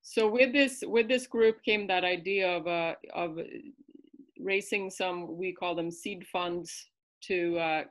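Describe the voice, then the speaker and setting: A woman's voice: fairly low pitch, slow pace. A woman acting as interviewer, politely and somewhat hesitantly prompting a guest in an informal moderated talk.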